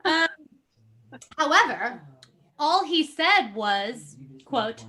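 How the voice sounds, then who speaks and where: A woman's voice: high voice, big pitch swings, very slow, pushed and bright. A woman doing a theatrical, jokey dramatic book reading mixed with casual banter, prone to breaking into laughter. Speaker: podcast host.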